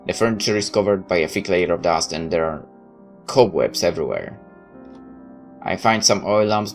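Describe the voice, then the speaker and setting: Man, low voice, dramatic story read-aloud, expressive but slightly halting, music behind him. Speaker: video game player reading a visual novel aloud.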